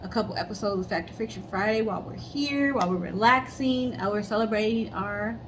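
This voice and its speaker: A woman's voice: medium pitch, brisk, noisy background. A woman co-hosting a casual chat and storytelling show in an upbeat, friendly way. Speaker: co-host.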